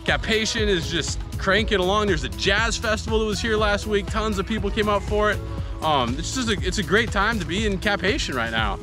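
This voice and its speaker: A man's voice: high-pitched, lively intonation, brisk. A man in his forties giving a casual, upbeat video update outdoors by a cornfield, with filler words and music behind. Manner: upbeat, chatty, frequent fillers. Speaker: farm nonprofit founder.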